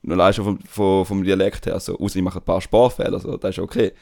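Young swiss german guy on a podcast, lowish voice, laid-back slangy storytelling with lots of ums. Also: fast, creaky. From Switzerland. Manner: relaxed slangy storytelling with frequent fillers. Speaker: podcast host. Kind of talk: casual chat.

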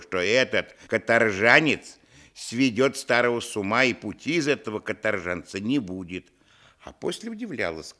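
An actor playing a grown man fondly recalling his village boyhood, narrating a literary radio play expressively. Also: mid-range voice. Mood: reflective. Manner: expressive storytelling.

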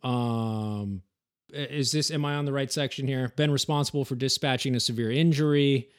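A man hosting a casual interview, talking informally and haltingly as he thinks aloud. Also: medium pitch, fairly even intonation. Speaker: podcast host, former wildland firefighter.

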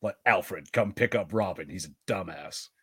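A man co-hosting a casual film podcast, explaining in halting bursts and acting out mock quotes. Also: low voice, fairly bright tone, slightly rough, dry recording, clean studio sound. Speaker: podcast co-host. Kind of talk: casual film watch-party banter.

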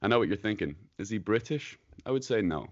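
A young Scottish man co-hosting a podcast, easygoing and teasing, with a gravelly voice. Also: lowish voice, animated, a little fast. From Scotland. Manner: easygoing, teasing, laughs often. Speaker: podcast co-host. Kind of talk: casual podcast chat. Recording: dull lo-fi remote call audio.

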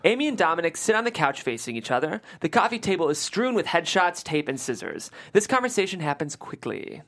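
A woman reading a comedy script's stage directions aloud at a table read, with expressive, emphatic delivery. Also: lowish voice, fairly quick.

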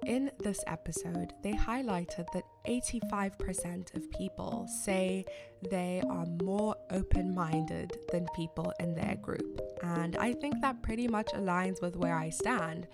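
Low, gravelly young woman reflecting. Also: loud music behind the voice. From Zimbabwe. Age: young adult. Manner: reflective, candid, measured. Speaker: podcast host. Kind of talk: scripted personal reflection.

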